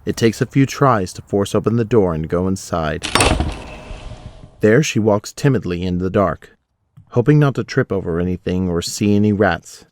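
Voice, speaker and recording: A man's voice: fairly low pitch, lively intonation, moderate pace, darkish tone. A man narrating a scripted audio drama, setting each scene in the present tense.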